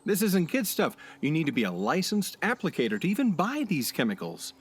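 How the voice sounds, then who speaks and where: A man's voice: a little high, wildly swooping pitch, a little fast, breathy edge, heavily acted. An actor playing an overconfident drifter who talks his way into things in a community radio play. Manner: breezy, self-assured, salesman-like. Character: brash overconfident male drifter, smooth talker.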